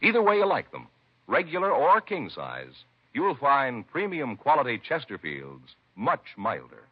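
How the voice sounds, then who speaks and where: A man's voice: mid-range voice, huge pitch swings, a little slow, slight background noise. An American man announcing a 1950s radio commercial in an emphatic, formal, salesmanlike style. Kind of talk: 1950s radio advertising read. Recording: muffled vintage radio recording.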